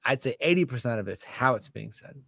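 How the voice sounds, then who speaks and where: A man, talking candidly as an interview guest, animated and self-deprecating, close to a studio mic at home. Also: a little fast, creaky, slightly rough, no room sound. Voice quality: scratchy low voice. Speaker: musician and author, guest. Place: home room with a studio microphone. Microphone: large studio condenser mic on a stand.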